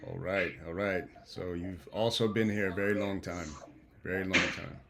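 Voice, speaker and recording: A man with a fairly low voice leads a video-call class. He greets each student warmly and laughs often as he teases them. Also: fairly even intonation, moderate pace, background noise. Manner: warm, laughing, teasing the students. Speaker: program instructor. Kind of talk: online class introductions.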